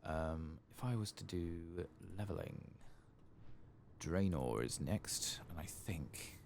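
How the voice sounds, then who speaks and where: A man hosts a game playthrough in a hushed, soft-spoken ASMR style. His voice is extremely deep and murmured, with a gravelly creak. He takes his time over the words at a slow pace. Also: animated, slight background noise. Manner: hushed, murmured, occasionally whispering. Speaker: gaming channel host.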